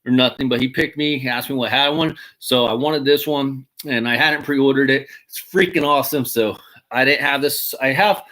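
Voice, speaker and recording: A man in his thirties or forties, excitable, chatting casually as he shows off hats from his collection and keeps calling them awesome. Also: medium pitch, normal speed, rough recording. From Mexican heritage. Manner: excitable, swears casually, repeats "freaking awesome". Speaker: hat collector vlogger. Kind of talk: casual unboxing chat.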